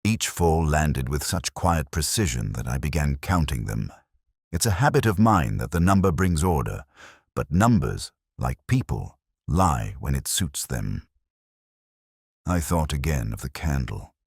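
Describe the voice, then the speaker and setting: A man playing a brooding, melancholy police detective, narrating his case in a measured, reflective, literary manner, as in a scripted mystery drama. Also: very deep voice, sing-song, breathy edge, dry recording, clean studio sound, full character voice.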